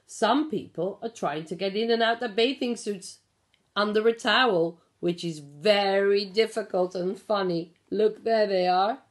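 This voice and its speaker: A middle-aged woman reading a picture book aloud to young children, explaining and asking simple questions in an expressive way. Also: medium pitch, a little slow. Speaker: pre-school storyteller. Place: small living room at home. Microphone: phone or tablet at about arm's length.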